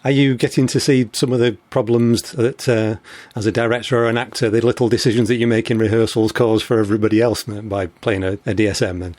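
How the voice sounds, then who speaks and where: A man's voice: fairly low pitch, fairly even intonation, fast talker, a little mellow, dry recording. A man presenting and asking questions as the host of a conversational arts interview.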